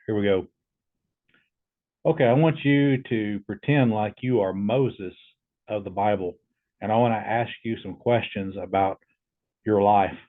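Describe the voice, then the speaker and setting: A man's voice: lowish voice, unhurried, pauses often, narrow, tinny audio. A man hosting a casual demo, talking informally and hesitantly as he tests a voice assistant. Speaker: video host testing a voice assistant.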